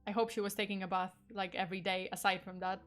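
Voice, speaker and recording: Streamer, young woman, Polish accent, chatty and filler-heavy, middle pitch, soft music bed. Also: level tone, fast. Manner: chatty, laughs often, lots of fillers and swearing. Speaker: vtuber streamer. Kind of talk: casual true crime livestream.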